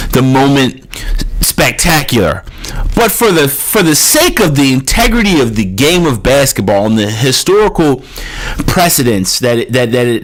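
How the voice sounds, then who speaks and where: A man's voice: high-pitched, a bit bright, rough recording. Ranting man in his thirties. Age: 30s. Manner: exasperated, ranting, swears. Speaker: draft analyst host. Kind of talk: opinionated solo commentary.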